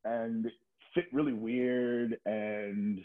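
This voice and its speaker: A man's voice: medium pitch, steady tone, slow, narrow, tinny audio. A middle-aged man talking casually as a podcast guest, telling stories in an informal, slangy way with swearing and plenty of filler words like "like" and "you know". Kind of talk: casual conversational storytelling.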